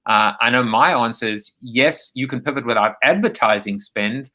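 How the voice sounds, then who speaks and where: A South African man hosting a live video call show. His voice is bright and forceful, and he rambles with frequent restarts. He speaks at a middling pitch. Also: moderate pace. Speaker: host. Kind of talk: live online interview show. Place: home, remote video call.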